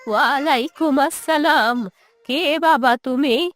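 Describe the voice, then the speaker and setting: A woman's voice: high voice, takes it slowly, fairly bright tone, faint music behind. A woman voicing a bitter, grieving old village mother in a cartoon moral story, with a plaintive, reproachful delivery. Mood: bitter, hurt.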